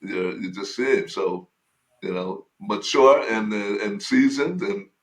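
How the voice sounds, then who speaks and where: A man's voice: fairly low pitch, slow. A man co-hosting a podcast, cheering on his guest and chatting with her in an eager, friendly way. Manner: enthusiastic, cheers and hypes the guest. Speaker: podcast co-host. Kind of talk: casual interview.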